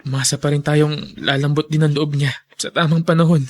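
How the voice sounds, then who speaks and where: A man playing a reasonable, caring family advisor in a melodrama, with measured, earnest delivery. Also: on the high side, little pitch movement, a little mellow. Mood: earnest, hopeful. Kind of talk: Filipino radio-style melodrama acting.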